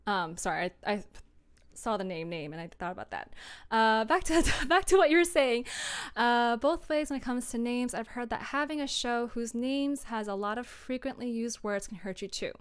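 A woman's voice: a little high, clean tone. A young adult woman chatting casually and a bit ramblingly as she hosts a livestream from a room at home. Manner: chatty, rambling, giggly, apologetic. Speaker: livestream host, audio drama creator. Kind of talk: casual livestream chat with viewers. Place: small room indoors at home.

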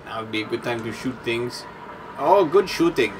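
A man playing a video game with friends, chatty and prone to excited exclamations. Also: mid-range voice, moderate pace, music in the background, poor audio. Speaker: let's play player. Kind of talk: casual gaming banter.

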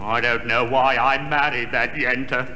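An ancient, exasperated monster of a husband in a sixties comedy sketch. He grumbles slowly and wearily, in an echoey hall over heavy noise. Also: high voice, sing-song, heavily acted. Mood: grumbling, weary. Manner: weary, exasperated complaining. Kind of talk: 1960s comedy album sketch acting. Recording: echoey, very noisy hall with audience.

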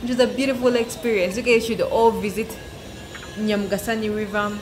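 A woman's voice: medium pitch, fairly even intonation, brisk, darkish tone, soft background music. Upbeat woman travel vlogger. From Uganda. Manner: upbeat, chatty, addresses viewers directly. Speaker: travel vlogger, channel host. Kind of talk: travel vlog to camera. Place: outdoors by a waterfall. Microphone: selfie phone at arm's length.

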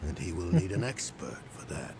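Weary older male fantasy sage, gravelly and scornful, low voice swinging widely, music behind. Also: very animated, normal speed, background noise, heavily acted. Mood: gruff, weary. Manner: dry, sardonic, dramatic. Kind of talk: video game voice acting. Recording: game music under the voice. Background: game music.

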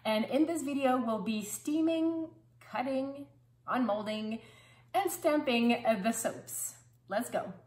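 A woman's voice: on the high side, slow, darkish tone, reverberant. A woman in her thirties narrating her craft work in a casual, upbeat, appreciative way. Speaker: soap maker presenting own videos. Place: small indoor workshop kitchen.

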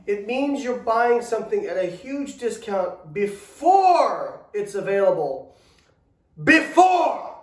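A man's voice: sits high, sing-song, slow pace. A man in his thirties co-hosting a casual chat on a living room sofa, sarcastic and swearing as he rants. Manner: profane, ranting, sarcastic. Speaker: co-host, coaching business owner. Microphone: far camera. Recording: echoey room from far off.

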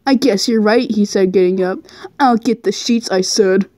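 A woman's voice: medium pitch, normal speed, warm tone. A woman reading fan fiction aloud casually as an amateur, giggly and stumbling over the text. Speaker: fan fiction reader, channel host.

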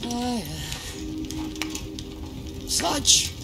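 A woman playing a weary, cryptic old alien mystic in a video game, speaking in halting broken phrases. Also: a little high, loud music behind the voice. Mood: sorrowful, bleak. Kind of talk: video game cutscene acting.

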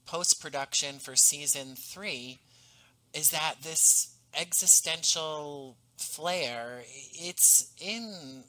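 A man in his thirties, from the US East Coast, being interviewed over a video call about the audio drama he created, speaking in a thoughtful, earnest, measured way into a headset mic. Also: fairly high pitch, pushed and bright, poor audio. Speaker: podcast creator and guest. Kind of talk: reflective conversational interview. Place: home room, on a video call. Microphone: headset boom mic.

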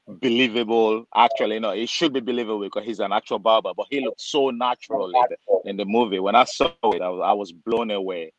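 Chatty barber on a dull video call. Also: male voice, fairly low pitch, fairly even intonation, a little fast, no echo. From Nigeria. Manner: chatty, acts out dialogue, many fillers. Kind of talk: casual storytelling on a video call. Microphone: webcam on a video call.

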